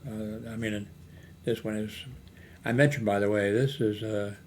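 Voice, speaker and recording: Low-voiced older man rambling, noisy recording. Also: little pitch movement, a little mellow. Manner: hesitant, halting, thinking aloud. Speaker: YouTube host. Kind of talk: rambling, unscripted screen-browsing product chat. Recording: noticeable background noise, homemade recording.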